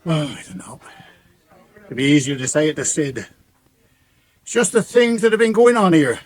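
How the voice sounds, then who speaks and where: A man's voice: on the high side, sing-song. An actor playing an awkward, plain-spoken old countryman with a West Country accent and a hesitant manner in a radio soap. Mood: awkward, troubled. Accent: English West Country rural. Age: older. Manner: hesitant, halting. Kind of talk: 1980s radio soap acting.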